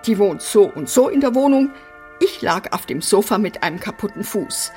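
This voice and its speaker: An actress playing a brash, eccentric apartment resident in a radio drama, theatrical, flippant and defiant. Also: female voice, medium pitch, lively intonation, normal speed, dark tone, soft background music, natural voice. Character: brash, eccentric, bossy female apartment resident opening others' letters. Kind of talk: German radio drama acting.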